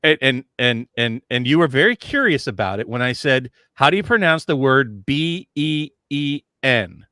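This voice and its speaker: A man's voice: mid-range voice, expressive, normal speed, hardly any pauses, sharp tone, clean tone, no echo, clean studio sound. A man co-hosting a podcast, enthusiastic and often repeating words as he starts a sentence. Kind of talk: casual podcast chat.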